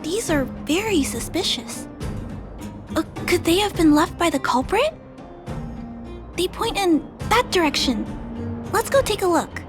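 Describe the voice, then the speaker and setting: A woman voicing an earnest, polite young girl in a video game, speaking gently and carefully. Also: very high-pitched, very animated, a little slow, long pauses, heavily acted. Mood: curious, earnest. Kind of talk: animated video game voice acting. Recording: music behind the voice.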